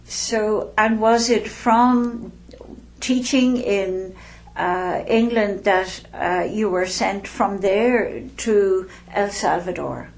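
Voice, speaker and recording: A woman interviewing a guest, courteous and gentle, with frequent hesitations. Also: medium pitch, extremely slow. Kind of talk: local interview.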